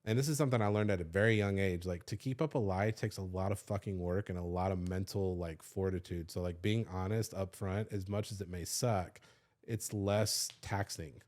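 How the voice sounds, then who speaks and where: A man's voice: low-pitched, fairly even intonation, normal speed, a little mellow. A man, telling a candid personal story on a podcast, loose and confessional, swearing freely with lots of "like". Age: 30s. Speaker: podcast host.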